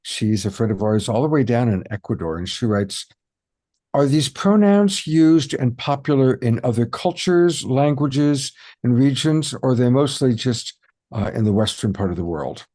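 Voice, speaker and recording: A man's voice: medium pitch, animated, normal speed, mellow. A man hosting an online show, reading out questions from the audience. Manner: plain, reads listener questions aloud. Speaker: show host.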